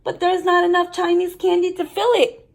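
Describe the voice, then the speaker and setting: Storybook girl, earnest and playful, high pitched, read by a woman. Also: little pitch movement, moderate pace. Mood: eager. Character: earnest, joking young girl narrator.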